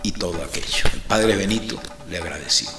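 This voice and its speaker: A man's voice: low voice, brisk, echoey room, natural voice. A man playing a bitter, brooding priest who narrates his own trial in an introspective, literary way. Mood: wry, detached. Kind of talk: first-person dramatized narration.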